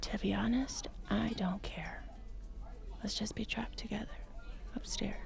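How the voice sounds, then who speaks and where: A woman playing a flirtatious, forward hotel guest in an audio drama, with a creaky voice and a teasing, intimate manner. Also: medium pitch, steady tone, unhurried, whispered, faint music behind. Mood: seductive.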